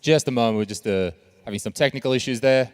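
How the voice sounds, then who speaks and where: A man's voice: mid-range voice, quick pace, smooth. A man moderating a conference panel, upbeat and jokey as he calls for questions from the floor. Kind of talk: lively conference moderation with jokes.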